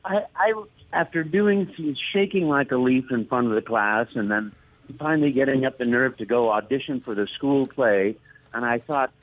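A man, a voice actor, telling stories as an interview guest with warm enthusiasm and excited repetitions. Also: mid-range voice, normal speed, no room sound. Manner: warm, enthusiastic, repeats words when excited. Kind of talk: storytelling interview talk. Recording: dry, thin phone-like line.

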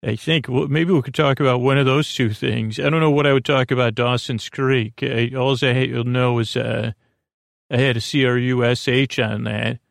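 A man hosting a bedtime podcast in a creaky, croaky voice, meandering and stammering in a soothing, lulling monologue. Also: medium pitch, fairly even intonation, dry recording, clean studio sound. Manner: meandering, halting, stammering, self-interrupting. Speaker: podcast host.

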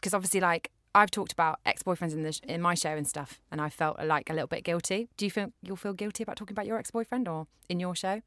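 A woman hosting a podcast, chatty and giggly, quick to laugh with her guest. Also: lowish voice, fairly bright tone, no echo, clean studio sound. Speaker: podcast host. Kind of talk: casual podcast chat.

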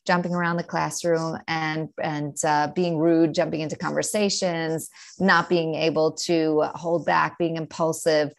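New york lady in her 40s, low gravelly voice, explains stuff confidently in long lists. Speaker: guest, educator and author. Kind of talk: podcast interview.

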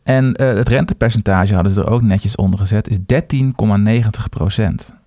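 A man chats casually as a podcast co-host. He has a fairly low voice and a halting, hesitant delivery, recorded dry and close. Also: mellow, dry recording. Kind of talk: casual chat.

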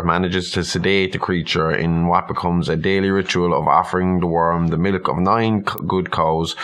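Low-voiced man reading with casual commentary. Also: a bit bright. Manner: informal, irreverent, sweary. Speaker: podcast co-host.

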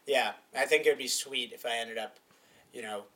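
A man's voice: mid-range voice, sharp tone, recorded from a distance. Young man, slightly off-mic, easygoing. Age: 20s. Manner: easygoing, agreeable, quick to say yeah. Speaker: podcast co-host. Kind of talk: casual chat.

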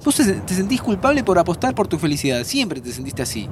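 Animated high-voiced young Argentine man. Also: quick pace, noisy background. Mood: frank, supportive. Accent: Argentine Rioplatense. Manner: informal, expressive, slangy. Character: young male time traveller, loyal, frank, reassuring friend. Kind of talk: naturalistic audio drama acting.

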